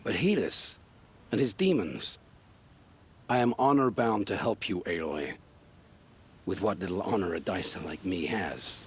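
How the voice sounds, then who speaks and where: A man's voice: deep voice, expressive, measured pace, pauses often, husky, full character voice. A man acting an earnest, honour-bound, guilt-ridden family man in a video game, speaking in formal old-fashioned phrases. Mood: remorseful.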